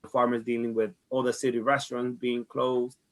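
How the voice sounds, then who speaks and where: Man, Dominican Spanish accent, medium pitch, earnest, conversational, repeats himself. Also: little pitch movement, fairly quick. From Dominican Republic. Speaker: farmers market director being interviewed. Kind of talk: conversational interview answers.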